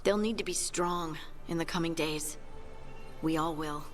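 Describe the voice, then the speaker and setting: An actress playing a young dwarf woman scout in a video game, warm, resolute and earnest with a gently teasing manner. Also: sits low, measured pace, faint music behind. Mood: earnest, steady.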